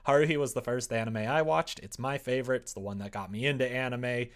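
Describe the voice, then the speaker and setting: A man's voice: medium pitch, steady tone, quick pace. A young man chatting casually with stream viewers while he works at his computer, sometimes muttering to himself. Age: young adult. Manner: muttering to himself while typing, then livelier replies. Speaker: livestreamer writing a visual novel. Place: indoor room at a computer desk.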